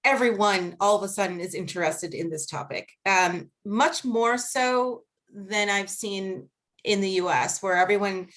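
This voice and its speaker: A woman with a Boston accent speaking as a guest researcher on a video-call panel, earnest and measured, laughing easily during questions. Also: mid-range voice, fairly bright tone. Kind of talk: academic panel talk and Q&A.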